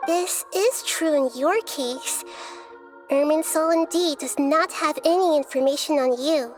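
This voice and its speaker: A gentle, thoughtful young goddess of wisdom explains things calmly in a high voice. She speaks in a measured, careful way, and soft game music hums faintly underneath. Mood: calm, explaining. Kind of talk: game cutscene voice acting.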